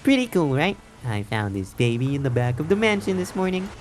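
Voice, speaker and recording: Lively, teasing young love interest. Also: female voice, very deep voice, a little fast, noisy background. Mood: proud, excited. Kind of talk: visual novel game acting.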